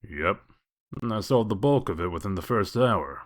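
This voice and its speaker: A gruff comic-book detective acted by a man with a low voice. He talks in a dry, wry, evasive way, sardonic and unhurried to explain himself. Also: lively intonation, close to an everyday voice. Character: gruff, sardonic male detective of exiled fables. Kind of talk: comic dub character acting.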